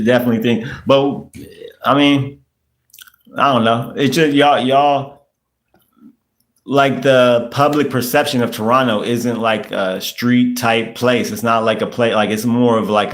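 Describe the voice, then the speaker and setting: A man in his late thirties from the US, hosting a casual livestream, chatting loosely, repeating himself and using street slang. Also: medium pitch. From United States. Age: 30s. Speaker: podcast host. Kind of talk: casual livestream chat reading comments.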